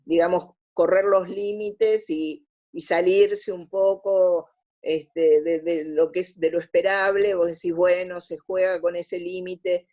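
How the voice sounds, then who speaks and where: A woman's voice: fairly low pitch, steady tone, takes it slowly, warm tone, dull audio. An Argentine woman talking as an interview guest, explaining her opinions and often rephrasing mid-sentence. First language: Spanish. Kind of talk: conversational radio interview.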